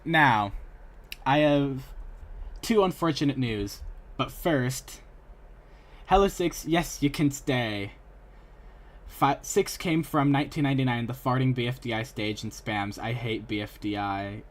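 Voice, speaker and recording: A young man talks casually on a livestream. His voice sits at an average pitch, and he rambles in a chatty way as he reacts and addresses his chat. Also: measured pace, pauses often. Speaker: live streamer reacting to videos.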